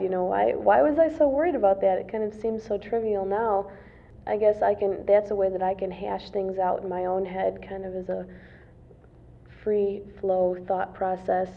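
A woman's voice: mid-range voice, creaky. A woman in her twenties, a college student answering interview questions casually, with many fillers and restarts. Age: 20s. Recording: tinny phone-like lo-fi recording.

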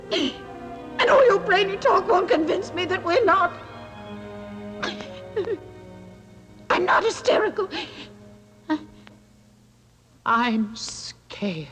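An actress playing a timid, prim middle-aged spinster schoolteacher who stammers and frets in a stage monologue. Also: female voice, sits high, fairly bright tone, exaggerated voice. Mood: anxious. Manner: fluttery, stammering, self-pitying.

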